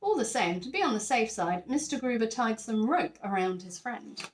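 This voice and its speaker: Animated woman reading a children's story. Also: a little high, normal speed, roomy sound. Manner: animated storytelling, emphasising key words for young children. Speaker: teacher reading a children's picture book aloud. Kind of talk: expressive scripted story narration.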